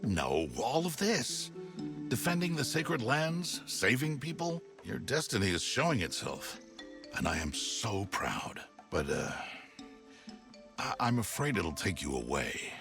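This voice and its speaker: An actor voicing a gentle, proud, fatherly mentor in a comedic animated game, earnest and a little hesitant. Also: mid-range voice, a little slow, gravelly, over music. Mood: proud, wistful. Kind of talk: animated video game cutscene acting.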